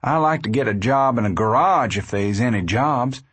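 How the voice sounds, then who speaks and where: A man voicing an excitable, girl-crazy young farm boy in a storybook. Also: mid-range voice, moderate pace, breathy edge, no room sound. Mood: excited, eager. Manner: eager, folksy.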